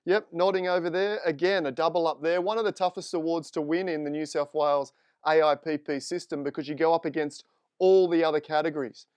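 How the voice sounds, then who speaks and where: A man's voice: on the high side, fairly even intonation, brisk, darkish tone, clean tone, dry recording. A man with an Australian accent hosting a live stream interview, chatting informally and upbeat. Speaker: host. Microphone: desk microphone.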